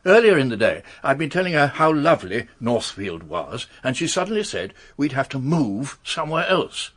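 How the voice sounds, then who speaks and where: An upper-class English gentleman in a radio drama. His husky voice rises and falls freely, and he stammers anxiously through a formal confession in an English accent. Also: mid-range voice, big pitch swings, moderate pace. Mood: rueful, confiding.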